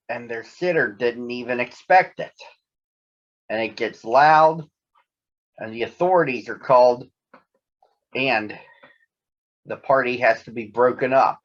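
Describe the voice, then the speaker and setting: Husky man reading a children's story. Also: medium pitch. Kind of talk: read-aloud children's story narration. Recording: dull lo-fi sound.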